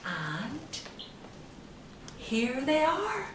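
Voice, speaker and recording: A middle-aged woman storyteller narrating simply and gently for young children, in a sing-song, deliberate way. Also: sits high, wildly swooping pitch. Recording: roomy, noisy, distant microphone.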